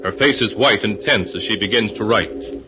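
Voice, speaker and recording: An actor playing a portentous teller of dark tales, narrating in a solemn, theatrical 1940s radio horror style. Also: male voice, on the low side, moderate pace, lightly acted. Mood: grave, scene-setting. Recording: dull, hissy, echoing 1940s radio recording.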